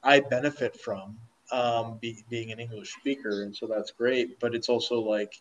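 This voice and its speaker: A man's voice: mid-range voice, steady tone, normal speed, dull audio, slight background noise. A man in a casual chat, explaining at length in a talkative way with fillers and restarts. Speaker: conversation partner.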